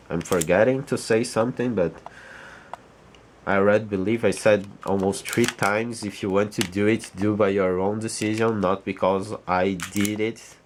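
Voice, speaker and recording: Slow, halting low-voiced man. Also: slight background noise. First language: Portuguese. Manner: hesitant, halting, repeats words while searching for English. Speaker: vlogger. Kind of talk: casual rambling vlog.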